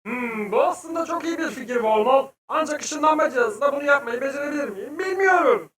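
A woman's voice: sits high, lively intonation, fairly quick, a bit bright. A woman voicing a bold, boastful, mischievous young adventurer in a children's educational cartoon, playful and exclamatory. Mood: mischievous, eager. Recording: echoey, distant, faint music behind.

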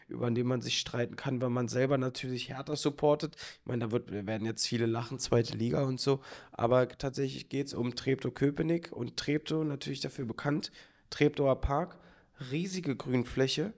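Young man, Berlin accent, gravelly, mid pitch, casual podcast chat, handheld mic. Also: moderate pace. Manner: joking, animated storytelling. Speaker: podcast co-host. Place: studio with green plant wall. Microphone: handheld dynamic mic.

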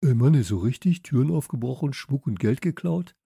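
A man's voice: medium pitch, lively intonation, normal speed, gravelly, mellow, breathy edge, dry recording, clean studio sound, pitched above the performer's own voice, heavily acted. A man voicing a brash, slangy boy with a blunt, exclamatory manner in a children's audiobook. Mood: excited, loud.

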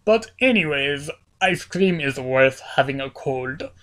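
Low-voiced woman with a cold, rambling. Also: animated, measured pace, fairly bright tone. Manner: rambling and playful, full of fillers. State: has a cold, voice cracking. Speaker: gaming channel host. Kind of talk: casual game commentary.

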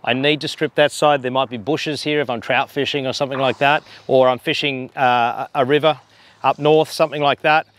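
A man in his forties, a fly fishing guide, explaining line handling outdoors on a harbor dock with a lapel mic, relaxed and a bit hesitant. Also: medium pitch, fairly quick, no room sound. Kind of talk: instructional demonstration.

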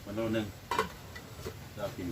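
A man with an Isan accent talking casually to his viewers in a home vlog, relaxed and easygoing. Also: measured pace, heavy background noise. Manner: relaxed, addresses viewers as brothers and sisters. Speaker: vlogger, father.

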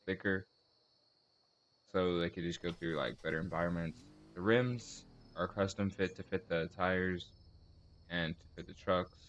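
A man giving casual video commentary as host of a car tour, speaking in halting short phrases and correcting himself. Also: low voice, lo-fi sound.

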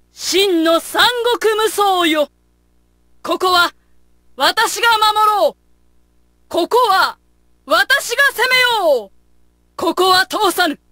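A woman's voice: very high-pitched, pushed and bright, no room sound, clean studio sound, full character voice. A woman voicing a proud, gallant noble warrior in a video game, with formal, stately martial delivery. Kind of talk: video game battle voice lines.

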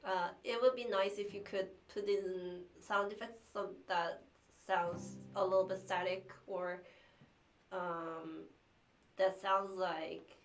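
A young woman whose first language is Korean, sick with the flu, chatting with livestream viewers in a small room, halting and restarting often with light laughs. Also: medium pitch, steady tone, low-quality recording. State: has the flu. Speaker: cellist streamer. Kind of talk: casual livestream chat reading viewer messages. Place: small practice room indoors.

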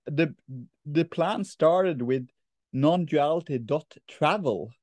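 A slow-talking Norwegian man in his thirties stumbles through his thoughts with lots of "uh" and restarts. He speaks into a laptop webcam from a bright room, and the sound is dry and close. He laughs easily between sentences. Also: on the high side, lively intonation, no echo. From Norway. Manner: halting, many fillers and restarts, laughs easily. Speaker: host of non-duality talks. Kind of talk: casual video call chat. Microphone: laptop webcam on a video call.

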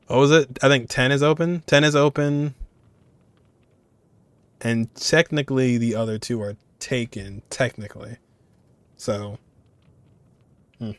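A young man reacts to a game in a casual way. His voice is medium in pitch and recorded very dry and close. He muses aloud informally, often circling back to repeat a phrase. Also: clear, resonant tone, dry recording. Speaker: reaction video creator.

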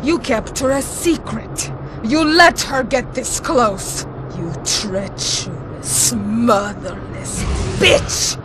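A woman's voice: on the high side, takes it slowly, background noise. A woman playing a feral, arrogant, hot-tempered vampire villainess in a video game, theatrical, snarling and foul-mouthed. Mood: furious. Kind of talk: video game cutscene acting.

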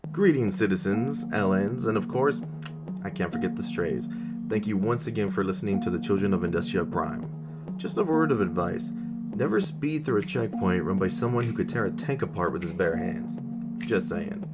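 A man's voice: sits low, lively intonation, normal speed. A man reading a scripted podcast outro as host, addressing listeners directly. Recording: loud music behind the voice.

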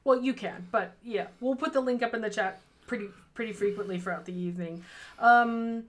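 A woman's voice: a little high, fairly quick. A woman in her thirties co-hosting a charity game stream from home on a headset mic, chatty and easily distracted. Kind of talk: casual livestream chat. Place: home room with fairy lights behind.

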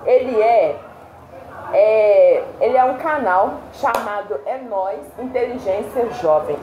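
High-voiced laughing woman. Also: takes it slowly, lo-fi sound, slight background noise. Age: young adult. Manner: animated, laughs often while talking. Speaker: co-host. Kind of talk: casual chat. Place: indoor room against a plain wall. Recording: roomy echo.

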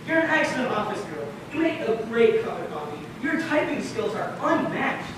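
A young man on stage playing a fussy, flattering radio show boss in a theatrical, projected way. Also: very high-pitched, moderate pace. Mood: exasperated. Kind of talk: amateur stage play acting. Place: large indoor theater stage, far camera. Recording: echoey stage from far off, loud noise.

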